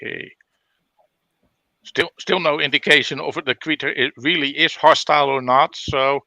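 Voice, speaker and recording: A man talking casually as a player in a tabletop roleplaying game, halting as he thinks aloud. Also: fairly high pitch, fairly even intonation, sharp tone, no echo. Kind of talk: casual chat during game play.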